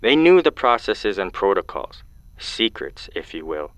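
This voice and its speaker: Ominous mystery caller: low, resonant, measured and stern, sci-fi audio drama. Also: male voice, very animated, no echo. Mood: cryptic, solemn. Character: cryptic, solemn mysterious caller with ominous warnings.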